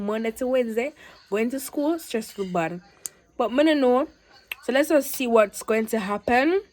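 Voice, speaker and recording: An eighteen-year-old woman with a Jamaican accent, chatting casually to her vlog camera and drifting into patois as she rambles. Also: a little high. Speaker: vlogger.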